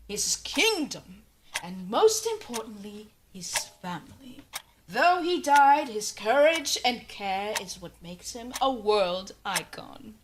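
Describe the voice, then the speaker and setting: A woman voicing a strict female schoolteacher in a fan-made visual novel, speaking slowly and deliberately. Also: a little high. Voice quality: slow, deliberate, lecturing delivery. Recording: noisy home recording.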